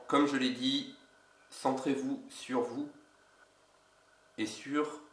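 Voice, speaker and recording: A man with a cold, an energy healer giving a casual instructional video in a slow, halting way. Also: medium pitch, a bit bright, distant mic. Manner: slow, halting, with many pauses. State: has a cold. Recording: roomy echo, away from mic.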